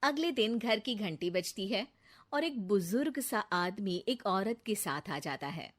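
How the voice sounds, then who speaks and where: A woman's voice: mid-range voice, animated, measured pace. A woman narrating a scripted cartoon story as its storyteller.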